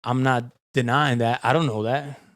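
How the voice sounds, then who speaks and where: A man from the Dominican Republic co-hosting a podcast, relaxed and matter-of-fact in casual chat. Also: mid-range voice, moderate pace, no room sound. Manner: relaxed, matter-of-fact, says "bro" often. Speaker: podcast co-host.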